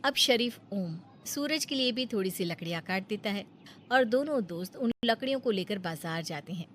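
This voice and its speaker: A woman's voice: mid-range voice, expressive, moderate pace, a little hiss or hum. A woman narrator reading a scripted moral story for a cartoon.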